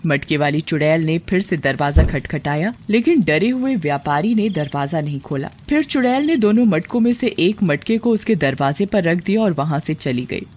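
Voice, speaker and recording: A woman's voice: low-pitched, lively intonation, normal speed, hardly any pauses, darkish tone, a little hiss or hum. A woman narrating a scripted moral tale as storyteller.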